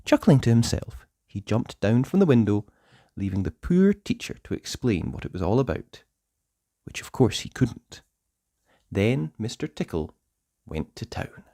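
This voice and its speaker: A man reads a children's story with a hugely varied, swooping delivery at a middling pitch. He is playful, leaning on words and pausing for drama, and the recording is close and dry. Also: big pitch swings, dark tone, no echo. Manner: playful, dramatic pauses and emphasis. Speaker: storybook narrator. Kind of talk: animated children's read-aloud narration.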